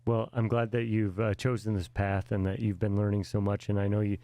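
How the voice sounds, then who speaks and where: A man's voice: lowish voice, little pitch movement, brisk, mellow, dry recording. A man interviewing students at a portfolio event, asking friendly questions and offering encouragement, sometimes restarting his sentences.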